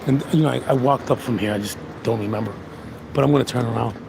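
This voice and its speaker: A man with a hoarse voice walking through town and chatting casually about the street and the places around him as he films. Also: mid-range voice, fast talker, background noise. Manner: rambling, laid-back, chatty tour commentary. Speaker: vlogger. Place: outdoors on a busy town street. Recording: outdoor street recording with music. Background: music from restaurants.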